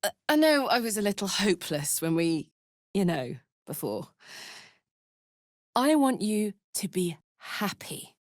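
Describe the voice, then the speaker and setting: Up close and very dry, a battle-hardened woman warrior from a fantasy video game. She is unhurried and earthy, speaking bluntly and informally, with an impulsive streak and a big heart. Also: medium pitch, no room sound. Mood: tender, earnest. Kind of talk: video game character voice acting. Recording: dry close studio recording.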